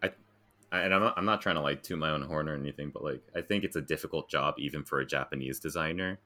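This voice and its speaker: A man's voice: a bass voice, fast talker, bright, forceful tone. An American man telling stories in a casual, informal and self-deprecating way, as a guest in a conversation. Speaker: interview guest, graphic designer.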